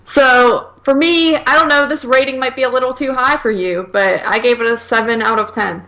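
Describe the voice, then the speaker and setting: A woman's voice: a little high, normal speed, pushed and bright, rough recording. A woman co-hosting a film review podcast, chatting casually and argumentatively in blunt, slangy speech peppered with "like.". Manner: blunt, exasperated, slangy, repeatedly hedging with "like".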